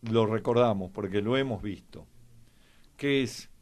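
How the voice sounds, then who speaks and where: An older Argentine man discussing history conversationally, with hesitant, halting phrasing. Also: lowish voice, a little mellow. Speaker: panelist on history programme.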